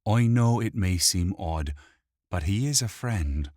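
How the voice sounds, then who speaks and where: A man's voice: low voice, expressive, slow pace, a little mellow, no echo, studio quality. A man doing a small nervous gnome. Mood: earnest. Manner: earnest, placating.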